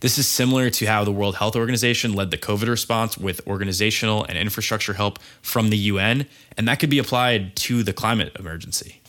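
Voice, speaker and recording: A man's voice: on the low side, fairly even intonation, fast, hardly any pauses, fairly bright tone. A man hosting a casual environmental podcast, a little stuffed up from a cold and upbeat as he talks. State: congested from a cold. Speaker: podcast host.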